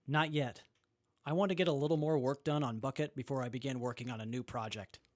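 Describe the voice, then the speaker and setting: A man playing a capable, good-humoured young wasteland mechanic in an audio drama, measured and matter-of-fact. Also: medium pitch, steady tone, a little mellow, no room sound, clean studio sound, natural voice. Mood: calm, friendly. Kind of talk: post-apocalyptic audio drama acting.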